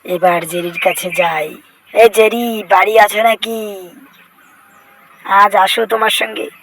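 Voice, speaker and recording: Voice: mid-range voice, fairly even intonation, slow, a bit bright, slight background noise. A voice actor dubbing a boastful, vengeful cartoon cat in speech, exclaiming, whining and bragging to himself in a comic fan-dub style.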